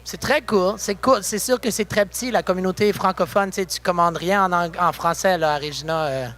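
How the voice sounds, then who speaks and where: A young man from Saskatchewan, a musician, chatting backstage in a relaxed way with many fillers. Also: high-pitched, a little fast. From Saskatchewan, Canada. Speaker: musician guest. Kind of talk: casual interview. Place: backstage at a concert venue.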